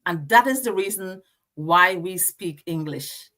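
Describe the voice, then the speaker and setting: A middle-aged woman from Guyana with a Guyanese accent, a guest telling lively stories in casual interview chat and laughing easily. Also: fairly low pitch, a little slow.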